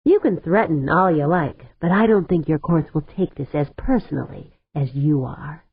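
A woman's voice: sits low, normal speed, creaky, breathy edge, dry recording, full character voice. A woman voicing a ruthless male tycoon who talks in a smug, dismissive way. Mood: mocking. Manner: sneering, dismissive. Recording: tinny phone-like sound.

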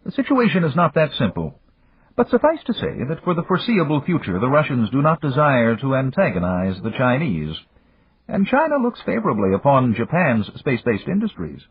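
A man voicing a burly older Japanese business magnate who speaks in a formal, measured way. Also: medium pitch, very animated, voice pushed up, heavily acted. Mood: businesslike. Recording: dry, phone-like, husky.

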